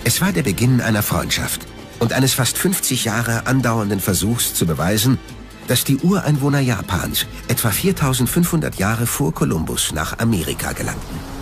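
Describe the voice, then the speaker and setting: A man narrating a scripted documentary in a measured, factual manner, recorded as a studio voice-over. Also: medium pitch, sing-song. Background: background music.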